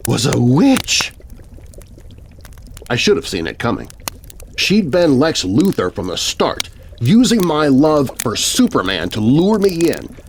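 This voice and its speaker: A man's voice: mid-range voice, a little hiss or hum. A man narrating a scary children's story in a dramatic, scripted first-person style. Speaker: narrator of children's horror stories. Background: music bed.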